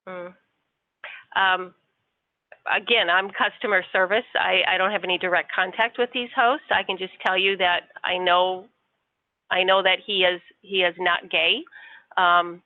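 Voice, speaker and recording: A woman's voice: on the low side, steady tone, a little slow, dry recording. Polite woman on a tinny phone line. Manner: polite, hesitant, patient. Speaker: customer service agent.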